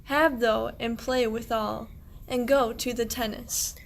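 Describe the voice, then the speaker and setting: A woman's voice: a little high, steady tone, slow pace, vocal fry. A woman playing a kindly, devout medieval shepherd, declaiming rhymed verse in a radio play. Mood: tender.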